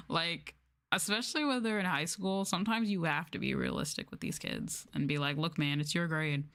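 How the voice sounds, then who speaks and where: Low-pitched woman telling stories casually. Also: normal speed, fairly bright tone. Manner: informal, slangy phrasing. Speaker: livestreamer and former English teacher. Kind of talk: casual livestream storytelling.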